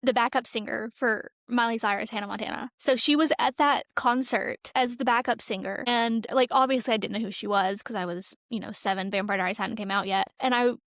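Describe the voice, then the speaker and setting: A high-pitched woman chats casually as a podcast co-host. She tells nostalgic anecdotes in an animated manner, slipping into quoted dialogue, and the sound is dry and close. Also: a little fast, few pauses, no room sound.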